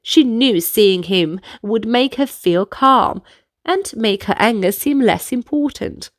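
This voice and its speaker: A woman's voice: high-pitched, no echo, clean studio sound. A woman narrating a children's storybook and guiding young listeners through dance movements in an instructive manner. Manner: instructive, clearly paced delivery for young listeners.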